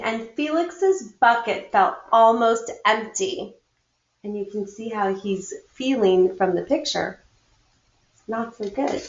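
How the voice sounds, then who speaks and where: Woman read-aloud storyteller for schoolchildren, slow and very lively in pitch, echoey room. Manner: acts out dialogue, pauses to comment to listeners. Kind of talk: expressive children's picture book read-aloud. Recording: muffled, echoey room recording.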